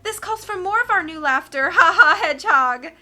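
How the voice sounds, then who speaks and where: A woman's voice: expressive, normal speed, bright, forceful tone, soft background music, full character voice. A woman voicing a vain, flamboyant villainous woman who shrieks and gushes theatrically. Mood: astonished, gushing. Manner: shrill, gushing, theatrical.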